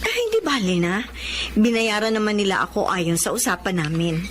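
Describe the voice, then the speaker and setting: A woman playing a gentle, hardworking and principled Filipino mother and masseuse in a radio drama. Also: medium pitch, expressive, fairly quick, a little hiss or hum, natural voice. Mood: patient, weary.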